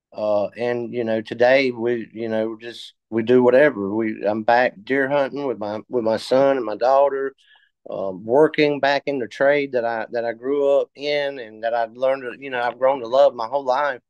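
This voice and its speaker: A man of fifty telling his story in a casual interview, halting with many fillers and restarts. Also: medium pitch, dry recording, dull audio. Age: 50s. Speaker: interview guest.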